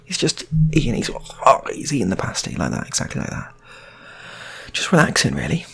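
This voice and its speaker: Male British storyteller, loose and rambling improvised comedy, widely varied pitch, rough husky tone. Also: wildly swooping pitch, fairly quick. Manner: rambling, repetitive. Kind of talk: improvised casual comic storytelling.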